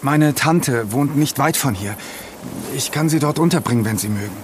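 Guy acting calm mediator friend in a podcast drama, measured voice, takes charge, noisy outdoor background. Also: medium pitch, lightly acted. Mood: reassuring. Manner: measured, conciliatory. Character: Vincent, calm placating male friend taking charge. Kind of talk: naturalistic audio drama acting.

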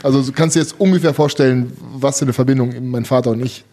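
A man's voice: mid-range voice, steady tone, quick pace, dark tone. Chatty young man laughing at himself. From former Soviet Union. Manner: chatty, laughs often, self-mocking. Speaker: handball player guest. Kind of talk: casual talk-show chat.

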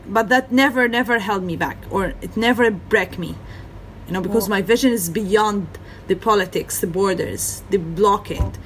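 A woman's voice: medium pitch, normal speed, a little hiss or hum, low-quality recording. A young woman from Gaza, speaking in earnest over a video call with repeated phrases and "you know". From Gaza, Palestine. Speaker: interviewee. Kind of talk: earnest personal testimony.

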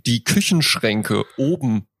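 A man co-hosting a podcast in relaxed, chatty talk, laughing often and nursing a slight cough. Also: mid-range voice, slow pace, slightly rough, dry recording, studio quality. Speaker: podcast co-host. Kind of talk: casual chat.

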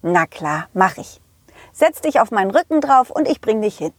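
A woman's voice: mid-range voice, sing-song, moderate pace, no room sound, studio quality. A woman voicing a confident, loyal male dog who tells his adventures playfully in a children's audio play. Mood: helpful, caring. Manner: playful, addresses the children directly.

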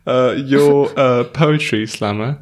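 A man hosting a podcast, asking the guest questions in a measured, slightly halting way. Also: medium pitch. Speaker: podcast host.

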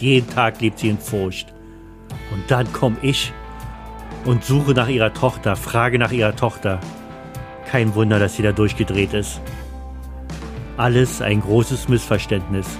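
A man's voice: medium pitch, measured pace, pauses often, over music. A man giving scripted first-person narration for a thriller audio play. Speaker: narrator and voice actor of all parts.

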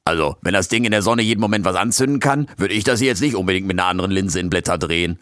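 A man's voice: low-pitched, very fast, fairly bright tone, no room sound, clean studio sound. A man voicing a cheeky male raccoon intern who grumbles in street slang. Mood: defiant.

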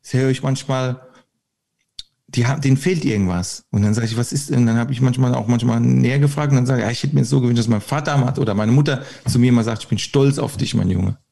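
Gravelly man rambling in a dialect. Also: mid-range voice, quick pace, dark tone. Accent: German dialect. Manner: rambling, many restarts, laughs often. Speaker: podcast guest, author. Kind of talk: casual podcast conversation.

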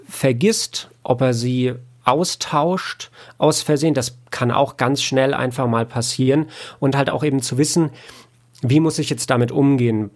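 A man's voice: mid-range voice, vocal fry. A man answering casually in an interview, explaining his work in a reflective way. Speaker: audiobook editor and producer, interview guest.

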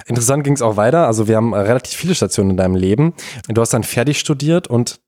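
A man's voice: mid-range voice, animated, fast talker, a little mellow, no room sound, studio quality. A man interviewing a friend in a casual chat, asking questions in a friendly, teasing way.

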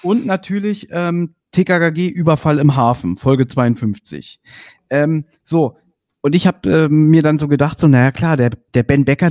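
A man's voice: fairly high pitch, darkish tone, no room sound, studio quality. A man hosting a podcast, asking chatty, detailed fan questions in a casual interview. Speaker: podcast host.

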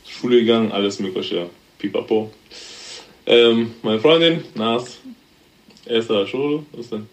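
Relaxed rambling man in his thirties. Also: mid-range voice, a little slow, poor audio. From Germany. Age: 30s. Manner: relaxed, rambling, with fillers and laughs. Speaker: podcast guest. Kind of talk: casual podcast chat.

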